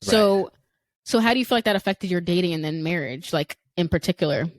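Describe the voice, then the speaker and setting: A woman's voice: on the low side, fairly quick, dry recording. A woman hosting a podcast interview, asking questions and giving short encouraging replies. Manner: brief encouraging replies, laughs along. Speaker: podcast host. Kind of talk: casual interview.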